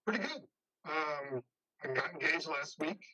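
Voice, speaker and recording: An actor playing an easygoing, loyal construction worker friend in a play reading, talking casually and haltingly. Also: male voice, on the high side, animated, pushed and bright, full character voice, poor audio. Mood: friendly. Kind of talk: remote staged play reading.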